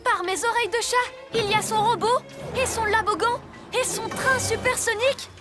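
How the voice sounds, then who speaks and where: A woman voicing an eager young boy superhero in a cartoon dub, with an energetic, rallying, childlike delivery. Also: very high voice, normal speed. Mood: determined. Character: eager young boy superhero, cat-themed, upbeat leader. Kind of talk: animated cartoon dubbing. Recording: loud music behind.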